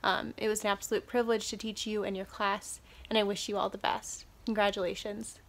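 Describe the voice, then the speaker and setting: A woman teacher in her thirties speaks earnestly and steadily, her pitch staying fairly even. She pauses often with small fillers as she gives a heartfelt video message from home. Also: background noise. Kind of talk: recorded video tribute. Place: living room at home.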